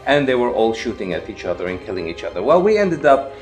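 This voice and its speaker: A man from Lebanon with an English accent, a guest recounting his past in an interview with animated, emphatic delivery. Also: fairly low pitch, quick pace, soft background music. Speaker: guest, evangelist. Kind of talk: interview storytelling.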